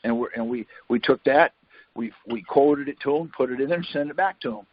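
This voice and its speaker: Husky man explaining on a muffled call. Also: medium pitch, fairly quick, no echo. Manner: rambling, self-correcting, with lots of "see?" and "um". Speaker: conference call host. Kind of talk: casual explanatory talk. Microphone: phone line. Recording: muffled phone-call audio.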